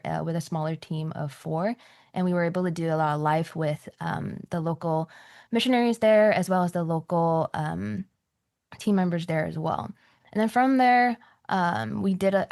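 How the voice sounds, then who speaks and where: A young woman from Malaysia speaks with a lowish voice, full of "um"s and "like"s. Her voice sounds dry and close to the mic, with no room behind it. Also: a little mellow, dry recording. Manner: chatty, many fillers like "um" and "like". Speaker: nurse practitioner and missionary guest. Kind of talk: casual church interview.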